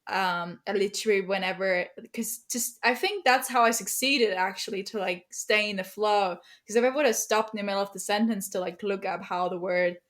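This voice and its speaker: A woman's voice: mid-range voice, normal speed, hardly any pauses, fairly bright tone. German woman chatting on a podcast. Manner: chatty, many fillers and laughs. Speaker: guest writer. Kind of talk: casual podcast chat.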